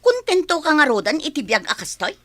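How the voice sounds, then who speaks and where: Bright, high-voiced frustrated young Filipina girlfriend. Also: wildly swooping pitch, a little fast, dry recording, exaggerated voice. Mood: nagging. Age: young adult. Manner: complaining, emphatic. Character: frustrated, thrifty young working woman, nagging girlfriend. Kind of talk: radio drama acting.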